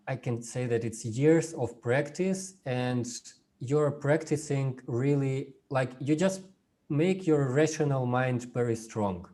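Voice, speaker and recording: A man whose first language is Russian gives an informal workshop over a video call, speaking haltingly with many fillers and restarts. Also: medium pitch, a little mellow. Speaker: workshop presenter. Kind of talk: informal online workshop talk.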